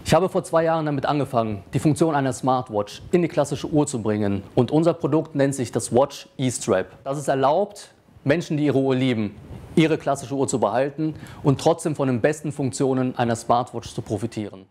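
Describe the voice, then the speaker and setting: A man, a company founder, giving an earnest, measured pitch to camera with a lapel mic. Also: darkish tone.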